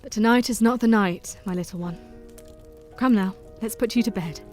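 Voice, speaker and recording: Woman doing a soft-spoken victorian mum, genteel, audio drama. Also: medium pitch, normal speed, faint music behind, lightly acted. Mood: tender. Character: gentle Victorian mother, young woman.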